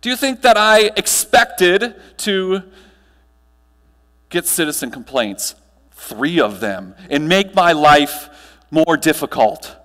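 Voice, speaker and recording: An American man in his thirties giving a motivational conference keynote, projected and emphatic, with deliberate pauses and rhetorical questions. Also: fairly high pitch, sing-song, a bit bright. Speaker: keynote speaker and trainer. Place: large hotel ballroom stage. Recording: long hall reverb from ballroom PA.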